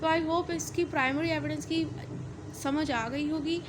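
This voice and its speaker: A woman with a Pakistani accent teaches in a distinctly high voice. She explains step by step, repeating and rewording points and slipping in English technical terms. Clear background noise runs behind her. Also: fairly even intonation, unhurried. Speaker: law lecturer. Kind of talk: explanatory lecture mixing in English legal terms.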